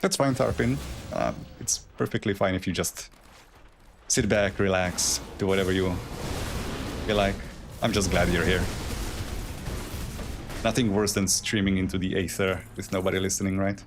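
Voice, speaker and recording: Low-voiced Czech man streaming a game. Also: fairly even intonation, moderate pace, long pauses, slight background noise. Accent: Czech, with a German tinge. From Czech Republic. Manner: thinks aloud, loose and rambling with restarts. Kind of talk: live gameplay commentary.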